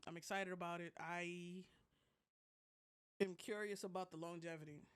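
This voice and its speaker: A podcast co-host with a mid-pitched voice. The talk is casual and animated, full of informal slang, like friends chatting about gadgets and games. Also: normal speed, smooth. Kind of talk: casual tech and gaming chat.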